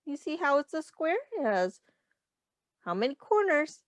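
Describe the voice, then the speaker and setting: A woman's voice: high-pitched, sing-song, moderate pace, no room sound, clean studio sound. A woman reading a picture book aloud as a teacher, explaining simply to young children and asking them questions. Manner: simple instructive phrasing aimed at young children.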